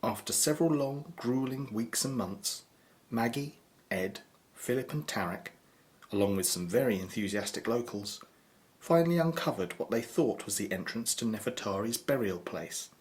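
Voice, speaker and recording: A man's voice: mid-range voice, animated, normal speed, natural voice. A man narrating a scripted radio drama as a grave storyteller. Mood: measured, dramatic.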